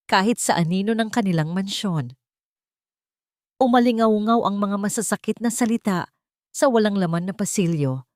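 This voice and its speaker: A woman's voice: on the low side, darkish tone, no room sound, clean studio sound. A woman reading a scripted, dramatic love story as its narrator.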